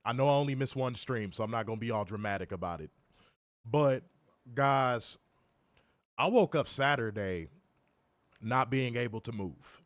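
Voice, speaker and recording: A young man hosts a live stream from home, his voice hoarse and worn from illness. He talks straight to the chat, cursing freely and joking through it. His pitch sits mid-range, and the mic sound is close and dry with no room around it. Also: normal speed, long pauses, dry recording. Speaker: co-host of a wrestling review stream. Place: home, in Chicago.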